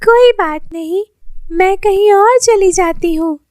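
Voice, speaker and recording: Woman playing poor young beggar girl, meek, pleading, very high pitch, storybook voice. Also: takes it slowly, darkish tone, dry recording, clean studio sound.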